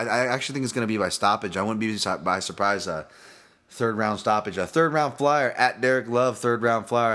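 A man with a Hawaiian accent and a fairly low voice, talking through his thoughts alone on a podcast. He rambles, restarts his sentences and swears casually as he goes. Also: sharp tone. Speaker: podcast host and fight analyst. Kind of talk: casual solo podcast breakdown.

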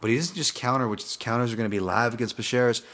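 A man's voice: mid-range voice, fast, fairly bright tone. A man with a Hawaiian accent hosting a casual solo podcast, talking in a rambling way with many restarts and casual swearing. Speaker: podcast host and fight analyst.

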